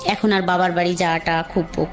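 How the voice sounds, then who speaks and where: An adult woman, calling in to tell a radio host her life story in a halting, reflective way with frequent restarts. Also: lowish voice, little pitch movement. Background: soft background music.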